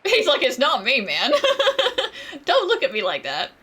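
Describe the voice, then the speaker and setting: A woman's voice: sits high, huge pitch swings, a little slow, bright, forceful tone, husky. A woman doing casual, jokey reaction commentary as she plays a game, often voicing mock quotes. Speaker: game streamer.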